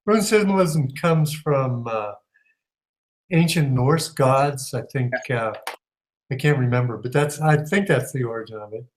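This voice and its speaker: Hesitant man, mid-pitched voice. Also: unhurried. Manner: hesitant, many "uh"s, speculative. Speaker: guest. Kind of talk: conversational talk show.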